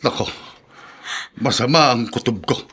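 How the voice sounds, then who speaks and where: A man's voice: medium pitch, unhurried, a bit bright, husky. An actor with a Filipino accent playing a stern, foreboding older father in a radio drama, grave and emphatic. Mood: foreboding, alarmed.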